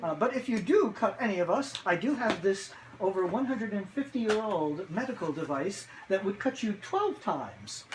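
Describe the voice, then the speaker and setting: A man's voice: high voice, big pitch swings, moderate pace, hardly any pauses. A man chatting casually and jokily to camera as a museum presenter. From New York City. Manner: playful, jokey, chatty. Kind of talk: casual unboxing chat to camera. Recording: clear background noise, live ambience.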